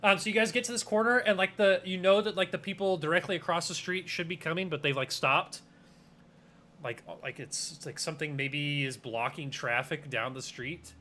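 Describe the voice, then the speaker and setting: A man's voice: on the high side, steady tone, normal speed, pushed and bright, a little hiss or hum. A young man running a tabletop game as game master, rambling with plenty of fillers and restarts. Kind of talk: casual tabletop game narration.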